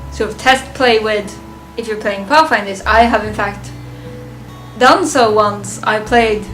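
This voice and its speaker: A woman giving a casual, unscripted fan review of a ranked list, halting and thinking aloud, often chuckling. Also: mid-range voice, a bit bright, soft background music, low-quality recording.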